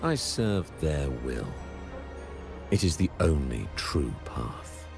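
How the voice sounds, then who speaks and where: A very deep-voiced game prophet, solemn and zealous. He preaches slowly and formally, with grand, swelling intonation over prominent music. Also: big pitch swings, vocal fry, exaggerated voice. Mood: solemn, reverent. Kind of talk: video game voice acting.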